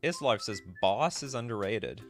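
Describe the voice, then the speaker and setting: A man hosting a casual live stream, reading aloud and thinking out loud with frequent exclamations. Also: on the low side, lively intonation, normal speed, soft background music. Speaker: host of a card game live stream.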